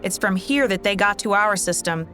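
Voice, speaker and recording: Woman acting a resolute game soldier, mid-pitched, commanding, terse, vengeful, over prominent music. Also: moderate pace, natural voice. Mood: determined. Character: tough, vengeful female soldier, resolute and sardonic. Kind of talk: video game cutscene acting. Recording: loud music behind the voice.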